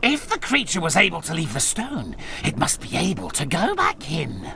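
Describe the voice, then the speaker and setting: A man's voice: fairly high pitch, huge pitch swings, moderate pace, creaky, background noise, exaggerated voice. A man voicing a grumpy, scornful middle-aged wizard villain in a cartoonish video game, with an irritable, theatrical delivery. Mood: grudging, uneasy. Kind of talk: animated video game voice acting.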